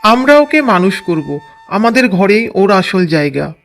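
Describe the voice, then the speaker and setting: A man voicing a gentle, earnest village husband in a cartoon, with faint music behind. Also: high voice, big pitch swings, a little slow, gravelly, full character voice. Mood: tender. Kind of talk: cartoon dub acting.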